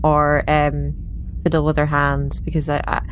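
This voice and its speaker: A woman's voice: deep voice, completely flat delivery, a little slow, slight background noise. A woman playing a tabletop game, chatting casually as she improvises what her character does.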